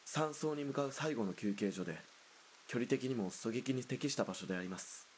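A man's voice: mid-range voice, normal speed, slight background noise, close to an everyday voice. A man playing a polite soldier escort in a radio drama, formal and dutiful.

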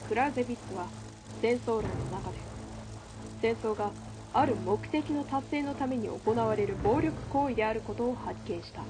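A woman giving scripted historical narration in a measured documentary way. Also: fairly high pitch, music in the background.

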